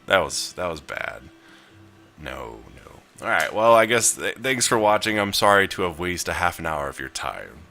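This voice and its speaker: Male gamer-narrator, extremely low bright voice, reads story text and comments casually, faint music behind. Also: very animated, moderate pace, creaky. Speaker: video game player and narrator. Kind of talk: visual novel read-aloud with casual gameplay commentary.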